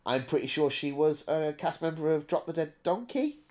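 A man's voice: a little high, animated, moderate pace, crowd noise. A man co-hosting a casual podcast discussion, giving opinions with frequent stammering restarts. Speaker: podcast co-host.

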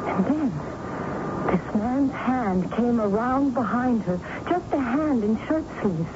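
An actress playing an earnest, insistent young daughter haunted by a murder in a radio mystery drama. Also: female voice, on the high side, lively intonation, slow pace. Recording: noisy, dull old radio broadcast tape.

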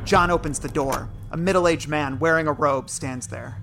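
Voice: mid-range voice, moderate pace, gravelly. Narrator for audio drama, mid-range voice, scripted delivery, music underneath.

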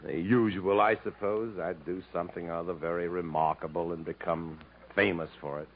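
An actor playing a world-weary, guarded drifter haunted by his past, in measured and reflective 1950s radio drama style. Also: low voice, steady tone. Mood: weary, evasive. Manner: measured, literate, reflective, halting when pressed on feelings. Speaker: actor and first-person narrator in a radio play. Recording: muffled, noisy 1950s radio recording.

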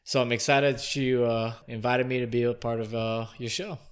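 A man's voice: mid-range voice, little pitch movement, normal speed. An American man joins a podcast as a guest. He speaks politely and a bit hesitantly, with many fillers. His voice sits in a middle range. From United States. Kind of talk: casual chat.

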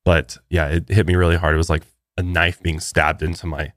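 A man's voice: sits low, little pitch movement, a little fast, a bit bright, no echo, clean studio sound. A man co-hosting a podcast in casual chat, still congested after the flu, laid-back and agreeable. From Colorado, US. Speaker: podcast co-host.

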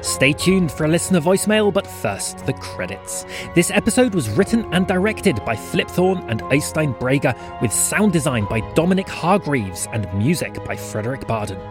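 Casting: male credits narrator, higher-than-average pitch with lively movement, scripted read. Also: moderate pace, music in the background. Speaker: announcer.

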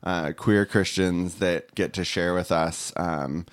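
A podcast co-host talks calmly in a very low voice, filling gaps with short agreements. The recording is close and dry. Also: slow, no echo. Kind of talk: casual podcast chat.

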